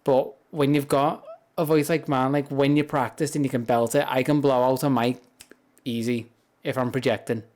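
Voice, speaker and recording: A man with a deep, grumbly voice chats casually and rambles on a livestream, speaking softly into a close, high-gain mic. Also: little pitch movement, moderate pace. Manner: rambling, chatty, soft-spoken without projecting. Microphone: high-gain streaming mic up close.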